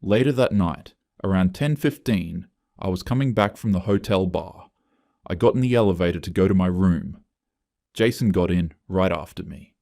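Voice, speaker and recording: A man voicing an upset, fearful woman making an office complaint in a narrated story, anxious and halting. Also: low-pitched, creaky, darkish tone, no echo, clean studio sound. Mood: uneasy, confiding.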